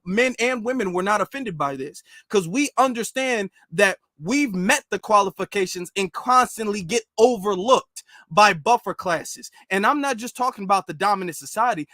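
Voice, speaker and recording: High-pitched man, emphatic commentator. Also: normal speed, few pauses, sharp tone, dry recording. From United States. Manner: emphatic, repeats phrases for stress. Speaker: live stream commentator. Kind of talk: informal political commentary to an online audience.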